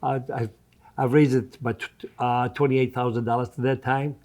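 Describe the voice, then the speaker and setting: An older man in his seventies with a Greek accent and a soft, mellow tone at a middle pitch. He is a restaurant owner answering interview questions in non-native English. He talks conversationally and tends to repeat his phrases. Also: level tone, moderate pace. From Greece. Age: 70s.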